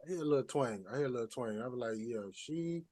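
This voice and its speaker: A man's voice: mid-range voice, fast talker, warm tone. A man hosting a podcast in a casual interview style, speaking informally with slangy phrasing. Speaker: podcast host.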